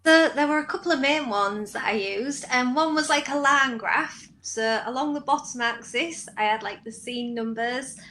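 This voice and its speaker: A woman's voice: high voice, moderate pace, few pauses, bright, forceful tone. A woman explaining her working method casually as a guest on a writers' panel. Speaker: scriptwriter on a panel. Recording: faint background noise, live online event.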